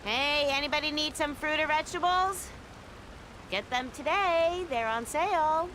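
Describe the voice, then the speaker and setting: A woman's voice: high-pitched, unhurried, fairly bright tone, a little hiss or hum. A woman voicing a friendly market seller of fruit and vegetables in a video game, with music behind. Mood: cheerful, hawking.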